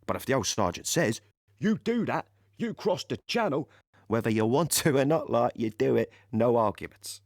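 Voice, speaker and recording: Audio play: cheerful young army driver, colloquial storyteller, highly animated delivery, dry sound. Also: male voice, moderate pace, no room sound. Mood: matter-of-fact. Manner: colloquial, ungrammatical, chatty storytelling with chuckles. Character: cheerful working-class young British army driver recalling D-Day. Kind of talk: dramatic monologue in an audio play.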